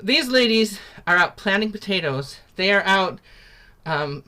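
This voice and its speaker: Chatty, bright-voiced woman teaching singing from home. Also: medium pitch, expressive, measured pace. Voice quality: naturally loud voice. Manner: chatty, upbeat, lots of "like" and "um". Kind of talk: casual online singing lesson. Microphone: computer mic on a video call.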